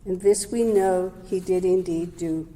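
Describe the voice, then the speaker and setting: A woman giving a prepared talk at a Sunday service, measured and gentle, sometimes correcting herself mid-word. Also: on the low side, fairly even intonation. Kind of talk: prepared talk read aloud. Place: service hall. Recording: long hall reverb.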